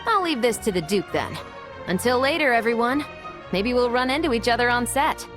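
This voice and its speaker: A woman's voice: fairly high pitch, lively intonation, a little fast, lightly acted. A woman voicing a courteous, dependable female musketeer in a video game, composed and matter-of-fact. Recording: music under the voice.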